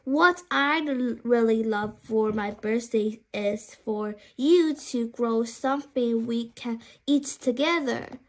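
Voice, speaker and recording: A woman voicing a kindly, eager grandfather in a children's story, speaking emphatically and haltingly. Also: fairly high pitch.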